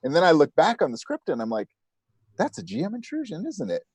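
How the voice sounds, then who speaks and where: A man's voice: a little high, huge pitch swings, fast talker, husky, no echo. A man chatting casually as an interview guest, speaking with enthusiasm and expression. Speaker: interview guest, podcast creator.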